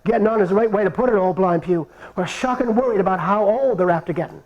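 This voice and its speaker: A man voicing an eager young village lad, quick and earnest. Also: high-pitched, highs cut off, lightly acted.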